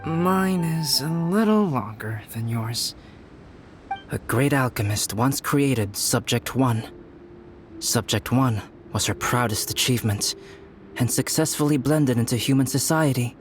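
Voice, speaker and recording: A man voicing a composed young male alchemist in a video game, calm and measured with a dry, deadpan humor. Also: mid-range voice, natural voice. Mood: storytelling. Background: game music.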